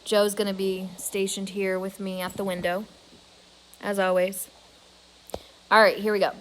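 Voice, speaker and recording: Slow-paced woman reading aloud. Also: mid-range voice, little pitch movement, slight background noise, rough recording. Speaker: read-aloud storyteller. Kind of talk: reading a children's novel aloud.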